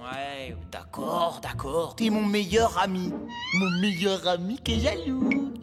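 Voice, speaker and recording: A man voicing a naive, excitable young dog-sitter in a children's cartoon, talking in casual gushing slang. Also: high-pitched, slow. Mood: sheepish, casual. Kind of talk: animated children's cartoon dubbing. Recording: loud music behind the voice.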